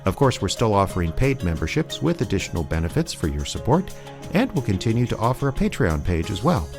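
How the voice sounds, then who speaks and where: Lower-pitched male radio theater announcer. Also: sing-song, brisk. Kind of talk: scripted announcement and narration. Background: music.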